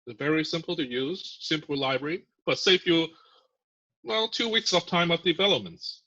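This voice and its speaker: A man who is a software engineer, a guest in a casual podcast interview, explaining ideas in a chatty way with lots of fillers and laughs. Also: on the high side, expressive, normal speed. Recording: muffled lo-fi audio.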